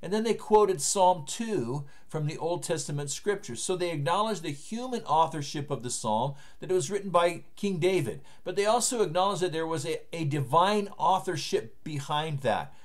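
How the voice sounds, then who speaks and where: A man's voice: a little high, lively intonation, moderate pace, hardly any pauses. Earnest middle-aged man preaching from his study. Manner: earnest, emphatic preaching to camera. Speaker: pastor. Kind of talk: recorded online sermon. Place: home study lined with bookshelves, indoors. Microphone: webcam framing, seated at desk.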